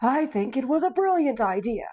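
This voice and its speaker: A woman voicing a calm, thoughtful male scarecrow who speaks quietly and deliberately. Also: high-pitched, pitched above the performer's own voice.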